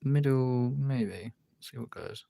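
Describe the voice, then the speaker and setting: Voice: mid-range voice, slow, creaky, dark tone, dry recording. A British streamer chatting casually and informally to viewers, thinking aloud and swearing now and then. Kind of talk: casual livestream chat.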